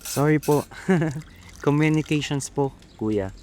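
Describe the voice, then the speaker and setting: A man's voice: fairly high pitch, takes it slowly, dark tone, slight background noise. A man with a Filipino accent playing a polite, nervous, earnest young suitor in an amateur radio drama. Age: young adult.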